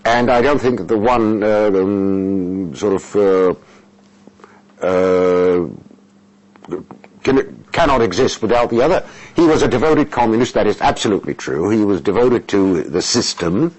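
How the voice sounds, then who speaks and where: An older man whose first language is Russian, an interpreter answering interview questions in a halting, measured way with many fillers and restarts. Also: fairly low pitch, lively intonation, a little mellow, poor audio.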